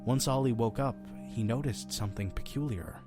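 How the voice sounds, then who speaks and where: A man's voice: medium pitch, normal speed, music in the background, lightly acted. A man playing a friendly, mysterious innkeeper who tells stories in an audio drama, earnest and persuasive with a folksy touch. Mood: hushed, solemn storytelling. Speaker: innkeeper storyteller. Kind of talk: audio drama storytelling and dialogue.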